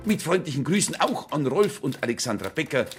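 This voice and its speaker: A man's voice: a little high, very animated, brisk, music in the background. A man giving a dramatic reading of official letters in a mock-formal, emphatic manner.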